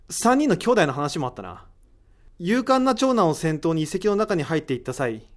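A man playing a thoughtful, analytical character in a voice drama, deliberate and explanatory, posing rhetorical questions. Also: a little high. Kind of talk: amateur voice drama acting.

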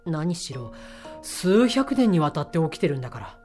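A woman plays a sharp-tongued leader of a secret mission in an audio drama. The voice sits on the low side and the delivery is assertive and commanding, with feminine turns of phrase. Faint music plays behind. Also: very animated, moderate pace. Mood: assured.

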